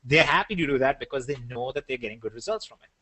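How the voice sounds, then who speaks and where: A man's voice: medium pitch, expressive, very fast, pushed and bright, dry recording. A man with an Indian accent, co-hosting a business webinar and explaining products in a casual, joking way. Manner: joking, then plainly explaining. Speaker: webinar co-host.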